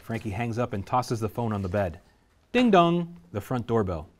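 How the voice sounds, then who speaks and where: A man narrates the action lines at a script table reading. His voice sits low, and he reads with steady, vivid pacing. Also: moderate pace. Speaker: narrator reading stage directions.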